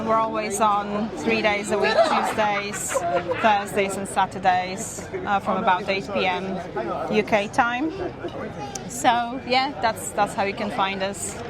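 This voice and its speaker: A woman's voice: mid-range voice, steady tone, takes it slowly. A woman chatting casually as an interview guest, with crowd chatter behind her. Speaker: streamer being interviewed. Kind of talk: casual chat. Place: busy gaming event venue.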